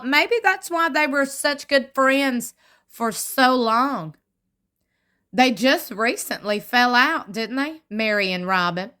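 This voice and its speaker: A woman, commenting on a reality show, reading fan posts aloud and adding wry asides while sitting in a squeaky chair at home. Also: pushed and bright. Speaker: commentary vlogger.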